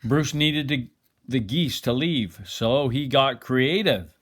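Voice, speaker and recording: An older man reading a children's picture book aloud expressively, like a grandfather, with dramatic pauses. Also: mid-range voice, fairly bright tone.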